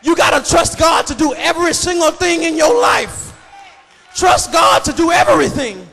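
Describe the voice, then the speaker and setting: Male preacher, very high-pitched shouted delivery, repetitive and emphatic, big reverberant hall. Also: normal speed, vocal fry, soft background music, a faint crowd. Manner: emphatic, repetitive, cadenced delivery building on repeated phrases. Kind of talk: fervent shouted sermon. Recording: echoey hall reverb with congregation noise.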